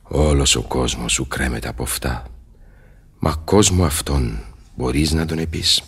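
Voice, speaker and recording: An actor playing an aged, weary, despairing scholar turned sorcerer, declaiming verse theatrically in a radio play. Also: male voice, very deep voice, husky, heavily acted. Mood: weary, bitter. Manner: theatrical, impassioned, slow declamation.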